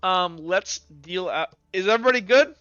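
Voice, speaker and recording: High, bright-voiced man running a game. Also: normal speed, clear, resonant tone, no echo, dull audio. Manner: animated, sweary, informal. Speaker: game master. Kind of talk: casual chat running a tabletop roleplaying game.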